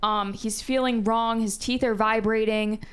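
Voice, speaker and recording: Clear-voiced woman discussing casually. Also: a little high, steady tone, a little slow. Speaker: co-host of a reaction show.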